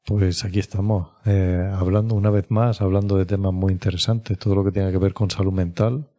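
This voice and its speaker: A man with a Spanish accent speaks as an expert guest in an interview. His voice is low and very mellow, and he explains things in a measured, reflective way. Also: level tone. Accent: Spain.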